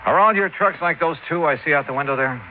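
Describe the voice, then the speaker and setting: An actor playing a sharp, skeptical hardboiled insurance investigator in 1950s radio drama, American, with a brisk, wry, probing delivery. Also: male voice, on the high side, narrow, tinny audio, close to an everyday voice. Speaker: lead actor and narrator. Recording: thin, noisy band-limited vintage radio recording.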